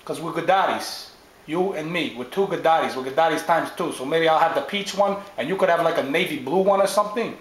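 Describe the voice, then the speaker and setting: A man's voice: a little high, brisk, reverberant, natural voice, rough recording. A man doing a swaggering wiseguy dad. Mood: scheming. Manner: tough-guy drawl, rambling schemes, nudging questions. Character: swaggering small-time male wiseguy dad.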